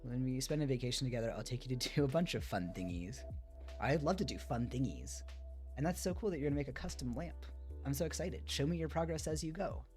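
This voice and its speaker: A man's voice: mid-range voice, animated, fast talker, a little mellow, soft background music. A man on a livestream, moving between reading a book aloud and chatting casually with listeners. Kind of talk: read-aloud storytelling mixed with casual chat on a livestream.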